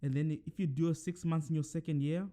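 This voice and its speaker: Mellow-voiced young man rambling on his podcast. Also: a little high, steady tone, brisk. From Zimbabwe. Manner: rambling, many fillers and self-corrections. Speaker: podcast host. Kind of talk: casual solo monologue.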